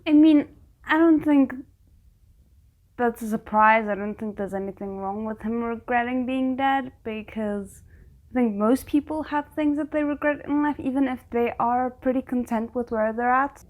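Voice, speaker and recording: Chatty woman reacting out loud. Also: a little high. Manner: chatty, animated, quick to react aloud. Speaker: reaction video host. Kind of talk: casual commentary while watching a series.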